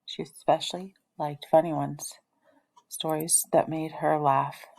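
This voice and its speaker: A woman reading the part of a gentle, reserved preacher father in a storybook, in a measured, deliberate way. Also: low voice, little pitch movement, vocal fry, full character voice, rough recording. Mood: wistful.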